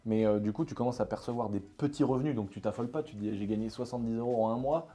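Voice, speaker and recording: A man from France hosting a casual interview, talking in a quick, colloquial and jokey way with many fillers. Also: medium pitch, warm tone. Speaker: YouTuber host interviewing a guest.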